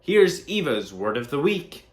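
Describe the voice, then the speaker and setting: A young man hosting a small show, reading segment intros and interview questions in a halting way with many fillers. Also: a little high, sing-song. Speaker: host. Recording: roomy sound with music underneath.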